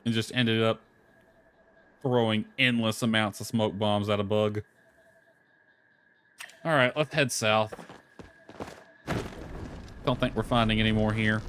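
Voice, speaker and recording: A man's voice: fairly low pitch, fairly even intonation, measured pace, pauses often, a bit bright, a little hiss or hum. A man giving casual video game commentary, muttering to himself with mild grumbling and swearing.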